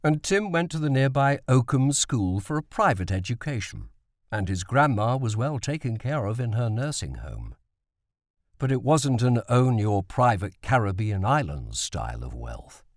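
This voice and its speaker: A man's voice: medium pitch, very animated, normal speed, no echo, clean studio sound. A man reading a novel as an audiobook narrator.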